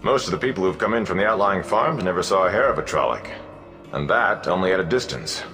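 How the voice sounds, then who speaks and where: A man's voice: low-pitched, animated, quick pace, a bit bright, long reverb tail, faint music behind, voice pushed up. A man voicing a stern warrior bodyguard who speaks curtly and matter-of-factly. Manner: curt, matter-of-fact.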